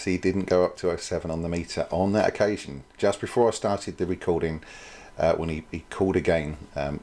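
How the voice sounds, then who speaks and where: A man presenting an informal product demonstration, explaining things in a hesitant, thinking-aloud way. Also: low voice.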